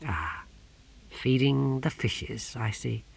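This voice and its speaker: Sinister male inquisitor, played by a narrator: low-volume, slow, measured, icily polite and pious storybook villain. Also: expressive, gravelly, slightly rough, exaggerated voice. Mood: icily courteous. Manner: hushed, measured, menacingly courteous.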